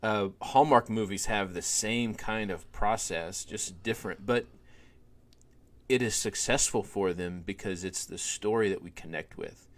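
Lively low-voiced man telling a story. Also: unhurried. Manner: animated storyteller, many fillers. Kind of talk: conversational podcast storytelling.

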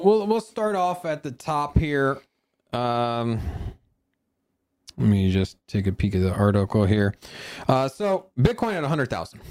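Animated casual male podcast host. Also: mid-range voice, smooth. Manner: informal, enthusiastic, repeats phrases as he thinks aloud. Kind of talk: casual crypto market commentary.

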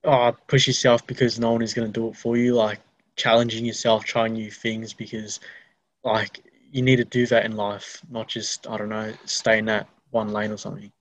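A man's voice: lowish voice, flat delivery, moderate pace, no echo, poor audio. A young Australian man hosting a podcast interview in an informal, matey, chatty way. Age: teenager or young adult. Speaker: podcast host. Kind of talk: casual interview chat.

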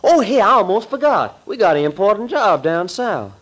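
An actor playing an eager, folksy, wisecracking detective's sidekick in a 1940s radio thriller, slangy and chummy. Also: male voice, high-pitched, sing-song, moderate pace, a bit bright, exaggerated voice, low-quality recording. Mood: eager, hurried.

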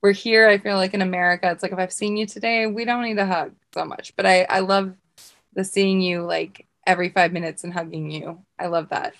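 A woman's voice: on the low side, steady tone, a little fast, fairly bright tone. A young adult woman co-hosting a podcast in casual chat, rambling and laughing often. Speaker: podcast co-host.

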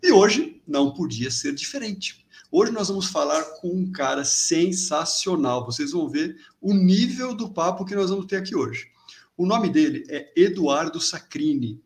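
Male host, slightly high voice, upbeat formal introductions for a live show. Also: lively intonation, a little slow. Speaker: host of live interview series. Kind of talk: live stream interview hosting.

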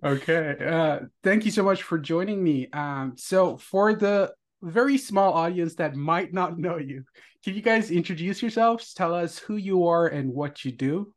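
A man from India with an Indian English accent, hosting a casual interview podcast in a relaxed, friendly way. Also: on the high side, measured pace. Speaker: podcast host.

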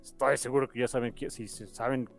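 A man's voice: mid-range voice, fairly even intonation, brisk, creaky, soft background music. A man with a Mexican accent hosting a podcast, chatting casually in an enthusiastic, rambling way. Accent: Mexican Spanish. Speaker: podcast host.

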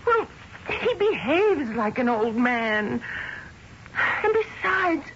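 Young daughter, dutiful yet resistant, for radio drama: high, animated voice, hesitant and pleading. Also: female voice, takes it slowly, heavily acted. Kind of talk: old-time radio drama acting. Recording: muffled, noisy old radio broadcast.

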